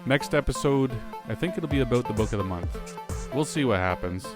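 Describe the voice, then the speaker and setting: A man's voice: medium pitch, animated, fairly quick. A man hosting a podcast, giving a casual outro. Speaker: podcast host giving sign-off. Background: music.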